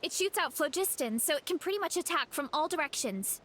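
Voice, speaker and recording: A woman voicing an earnest, gentle young heroine in a video game, sweet and sincere. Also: sits high, lively intonation, fairly quick. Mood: eager. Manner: sweet, sincere, eager, battle cries. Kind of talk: English video game voice acting.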